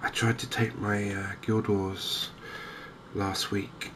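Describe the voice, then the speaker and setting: A man giving softly spoken ASMR commentary on a game, hushed, hesitant and unhurried, close to the microphone. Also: fairly low pitch, level tone. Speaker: gaming video host. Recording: clear background noise.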